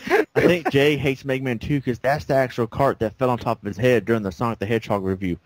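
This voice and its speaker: A man's voice: medium pitch, fast talker, a little hiss or hum. Laid-back rambling gamer guy. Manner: rambling, laughs often, filler-heavy. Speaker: co-host of a game review show. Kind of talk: casual gaming discussion.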